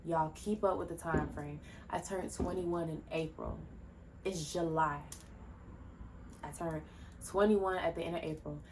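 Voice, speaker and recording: Low-voiced young woman rambling on a podcast. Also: steady tone, a little slow, slight background noise. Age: 20s. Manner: rambling, jumps between tangents; loose, chatty, many fillers and restarts. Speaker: podcast host. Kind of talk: casual solo podcast talk. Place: at home, indoors. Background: faint fireworks popping.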